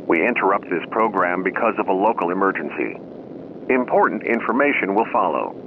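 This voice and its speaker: A man reading a scripted emergency announcement as a radio station announcer, in a formal, measured manner. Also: low voice, sing-song. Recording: dull lo-fi radio sound, loud noise.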